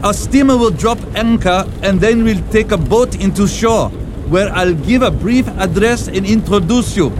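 An actor playing a grave, authoritative bishop who gives measured instructions in an audio drama, with music beneath. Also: male voice, sits high, background noise, close to an everyday voice.

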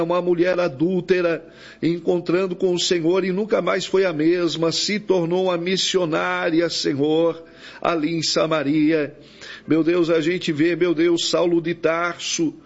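A Brazilian man leading an extemporaneous evangelical prayer as a preacher, pleading with frequent invocations, in dull lo-fi audio. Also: high-pitched.

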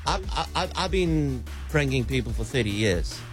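A middle-aged man with a South African accent spins an animated story on morning radio. He keeps restarting his sentences as he talks into a studio microphone, with faint music running underneath. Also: mid-range voice, moderate pace. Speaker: radio show host, prank caller. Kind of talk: casual breakfast radio banter.

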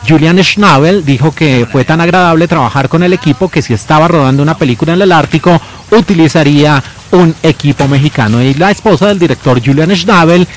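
A man presenting a film in scripted narration, giving titles and names with deliberate emphasis. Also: on the high side, slight background noise.

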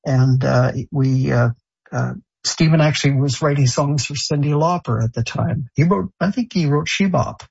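A man born in Canada and raised in France, speaking in an interview with a gravelly, husky voice. He talks haltingly, full of fillers and restarts. The audio is dull and lo-fi. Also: on the high side, darkish tone. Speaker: show creator and songwriter. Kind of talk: interview answers.

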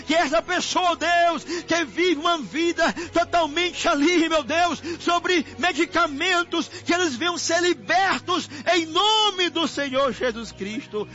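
A Brazilian man leads an impassioned, improvised prayer in an extremely high, forceful voice. He pleads insistently and circles back to the same invocations. The recording is dull and lo-fi. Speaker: preacher leading a prayer. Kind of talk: fervent extemporaneous intercessory prayer. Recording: muffled lo-fi audio with music behind. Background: music under the voice.

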